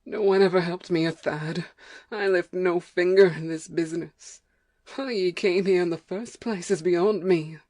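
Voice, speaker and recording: A woman's voice: lowish voice, a little slow, darkish tone, natural voice. A woman playing a weary, stubborn, ignorant farm wife with a rural American accent, scolding in folksy dialect in an audiobook play. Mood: bitter, stubborn. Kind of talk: amateur audiobook play acting.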